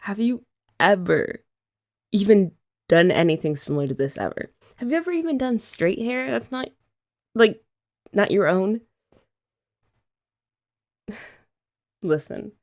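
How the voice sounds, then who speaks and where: A woman's voice: mid-range voice, sing-song, a little slow, pauses often, a little mellow. A young woman chatting playfully to a partner in an ASMR roleplay, teasing and laughing often. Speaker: ASMR creator. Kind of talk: scripted ASMR roleplay as a girlfriend.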